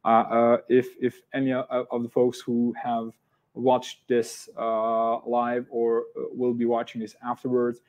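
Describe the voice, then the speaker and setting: A man with a Serbian accent hosting an online interview, speaking haltingly with many fillers and restarts. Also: mid-range voice, monotone, highs cut off. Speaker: event host.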